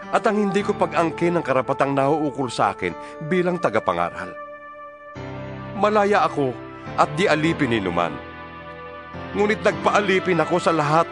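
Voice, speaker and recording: Expressive man reading scripture over music. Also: on the high side. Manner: declamatory, pleading rhetorical questions. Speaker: Bible reader. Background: instrumental music.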